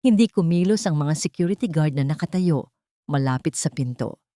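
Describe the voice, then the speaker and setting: A woman's voice: low-pitched, lively intonation, moderate pace, warm tone, no echo, studio quality. A woman narrating a scripted love story in a solemn, dramatic manner.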